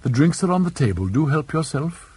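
A male narrator plays an aristocratic mother from a novel, gracious and controlled. The accent is Received Pronunciation. The voice is very husky, with an expressive rise and fall. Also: fairly high pitch, a little fast, voice pushed up, exaggerated voice. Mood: gracious, restrained. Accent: English Received Pronunciation.

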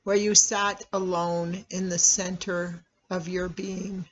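A meditation guide speaking in a measured, instructional way with long pauses between phrases. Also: mid-range voice, little pitch movement. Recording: dull, lo-fi recording.

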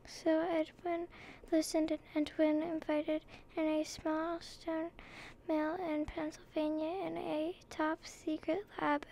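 A high-voiced female reader reading a children's book aloud over faint noise. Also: warm tone, low-quality recording. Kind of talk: scripted read-aloud narration.

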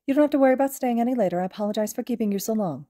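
A woman's voice: fairly high pitch, racing, dark tone, dry recording, clean studio sound, voice pushed up, close to an everyday voice. A woman voicing a clever, courteous small animal school principal in a fiction reading, speaking formally and reassuringly. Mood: courteous, businesslike.

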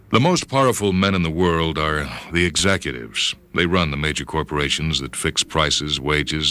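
A man as a deep-voiced, brooding ageing athlete. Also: sharp tone, full character voice. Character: ageing, tough, anxious male star athlete narrating his story. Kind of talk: dramatic first-person short story reading.

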